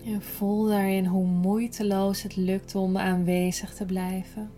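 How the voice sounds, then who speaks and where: A woman guiding a yoga nidra relaxation in a gentle, soothing, unhurried manner, breathy at times. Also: mid-range voice, little pitch movement, faint music behind. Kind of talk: slow guided relaxation meditation.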